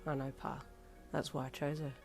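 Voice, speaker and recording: A woman voicing a cocky, teasing young man who can turn gentle and reassuring. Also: sits low, flat delivery, normal speed, faint music behind. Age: young adult.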